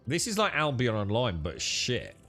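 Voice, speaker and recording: A man's voice: medium pitch, huge pitch swings, moderate pace, sharp tone, faint music behind. A young man streaming games, chatting with friends in a blunt, sweary, dismissive way. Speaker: gaming streamer. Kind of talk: casual gaming stream chat.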